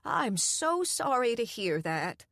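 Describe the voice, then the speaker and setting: A woman voicing a cheerful, fussing elderly woman who dotes on her guest, in a high, loud, slow, doting voice. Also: big pitch swings, no room sound, studio quality, full character voice. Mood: doting, concerned.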